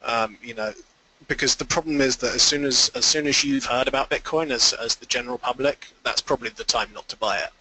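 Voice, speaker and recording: A man's voice: medium pitch, a little fast, pushed and bright, slightly rough, rough recording. A man giving informal, explanatory answers as an investor guest in a conference call interview.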